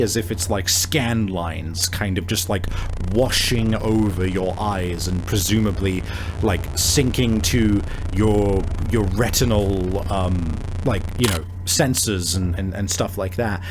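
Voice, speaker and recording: Slow, lowish-voiced male game master. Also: a little hiss or hum. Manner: halting, searching, detailed descriptions. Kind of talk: improvised descriptive narration of a tabletop game.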